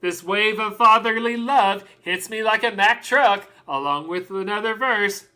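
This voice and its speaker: A woman playing a doting, excitable father of a small girl in a theatrical monologue, telling the story with dramatic pauses and drawn-out emphasis. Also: mid-range voice. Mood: awed, tender.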